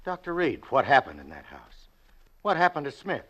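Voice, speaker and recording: A man's voice: medium pitch, wildly swooping pitch, normal speed, fairly bright tone, lo-fi sound. An actor in a 1940s radio drama playing an insistent man demanding answers. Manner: demanding, emphatic questions.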